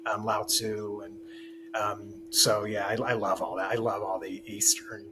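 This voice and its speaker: A man's voice: fairly low pitch, little pitch movement, unhurried, noisy background. A man talking about spiritual ideas as a podcast guest, rambling with many restarts and fillers. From Taiwan. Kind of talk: casual conversation.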